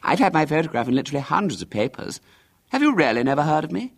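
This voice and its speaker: Male reader playing a smug, boastful child celebrity; haughty, lively delivery. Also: fairly high pitch, fast talker, creaky, slightly rough, pitched above the performer's own voice, heavily acted. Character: conceited famous young boy, pompous and self-important.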